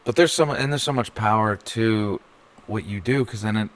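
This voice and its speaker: A man's voice: on the low side, moderate pace, fairly bright tone. A man co-hosting a casual interview, enthusiastic and affirming, swearing casually now and then. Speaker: podcast co-host.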